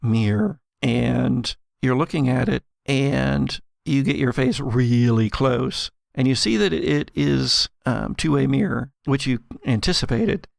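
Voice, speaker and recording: A man narrating a tabletop role-playing game as game master, improvising scenes in a halting way as he searches for words. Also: medium pitch, gravelly, no echo, studio quality.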